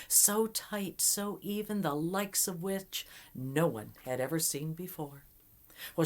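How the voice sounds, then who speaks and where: A woman telling a folktale aloud in an expressive, dramatic way with deliberate pauses. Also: low-pitched. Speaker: storyteller. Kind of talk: oral folktale storytelling, dramatic.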